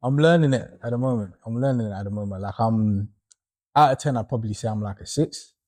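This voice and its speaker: A man speaks in a low, mellow voice. He talks earnestly and reflectively in a relaxed group conversation, dropping in the odd "innit". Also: fast talker, faint music behind. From Britain. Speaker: panel guest. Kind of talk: casual discussion.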